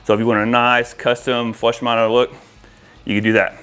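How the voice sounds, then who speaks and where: A man's voice: mid-range voice, level tone, moderate pace, fairly bright tone, smooth. A man talking informally to viewers as an installer, walking through a product demo in a casual way and addressing them as guys. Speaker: audio-video installer presenting to viewers.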